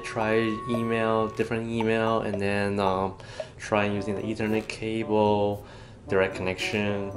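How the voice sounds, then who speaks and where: A man in his thirties doing a casual, unscripted gadget unboxing as a vlogger. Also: fairly low pitch. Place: small home dining room, indoors. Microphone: camera on the table or at arm's length. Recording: echoey room, music in the background.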